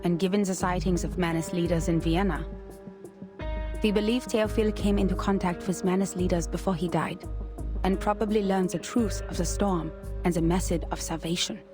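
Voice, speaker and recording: A woman's voice: fairly low pitch, steady tone, normal speed, over music, natural voice. An actress playing a composed, businesslike woman investigator who briefs in a formal, matter-of-fact way in a game cutscene. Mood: composed, serious. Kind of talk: scripted game cutscene dialogue.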